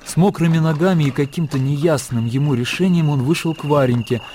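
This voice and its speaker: A man narrating a literary radio drama in a measured storytelling manner. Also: on the high side, mellow, a little hiss or hum. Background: music.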